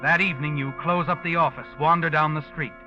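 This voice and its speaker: A man's voice: fairly high pitch, fairly even intonation, fast, natural voice. An American man playing an ominous, all-knowing narrator in 1940s radio mystery style, insinuating and taunting with rhetorical questions. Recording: lo-fi old radio, organ music behind.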